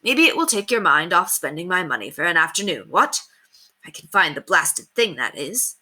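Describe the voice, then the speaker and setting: A woman's voice: lowish voice, very animated, moderate pace, pushed and bright, full character voice. A woman voicing a blustering, offhand upper-class husband from a storybook, breezy and distracted. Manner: breezy, distracted, tags sentences with what and eh. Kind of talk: storybook character voice read aloud.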